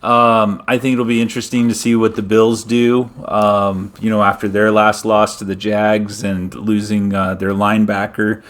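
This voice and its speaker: A man's voice: on the low side, little pitch movement, moderate pace, few pauses. A middle-aged man hosting a casual sports talk show, laughing easily and steering the topics. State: injured wrist. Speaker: host.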